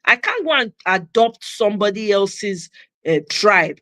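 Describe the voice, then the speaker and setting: A woman's voice: mid-range voice, sing-song, unhurried, fairly bright tone, dry recording. Emphatic Nigerian woman, dry close sound. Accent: Nigerian, with Pidgin phrases. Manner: emphatic, repeats her points for stress. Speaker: host of a commentary channel. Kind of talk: impassioned opinion monologue.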